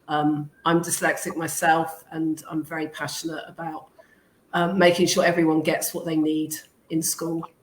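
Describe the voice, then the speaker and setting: Fiftyish english teacher lady, lowish voice, lots of ums, roomy echo and faint hiss. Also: flat delivery, normal speed. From England. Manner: thoughtful, hesitant, many ums. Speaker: guest, teacher. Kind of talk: casual interview talk. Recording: echoey room with faint noise.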